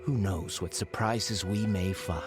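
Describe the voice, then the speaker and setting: A male actor playing a smooth-talking, impatient archaeological researcher in a video game, theatrical and slightly condescending. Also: low voice, unhurried. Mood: eager, scheming. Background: faint background music.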